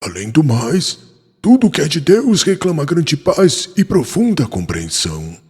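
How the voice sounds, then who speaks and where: A man acting a wise spiritual mentor in a dramatized audiobook. The voice is deeply hoarse-edged and breathy, with lively intonation as he patiently instructs, carried in a long reverb. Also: full character voice. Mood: grave, instructive. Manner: solemn, measured, didactic.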